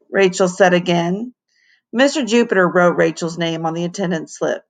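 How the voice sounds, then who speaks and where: A woman narrates a children's storybook with a noticeably creaky voice and an unhurried pace. She reads like a teacher to a class, animated and leaning dramatically on key words. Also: on the low side. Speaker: teacher reading aloud to children.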